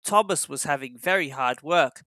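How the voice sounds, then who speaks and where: Guy narrating a kids storybook, highish bright voice, very dry recording. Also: moderate pace, dry recording. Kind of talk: scripted children's story narration.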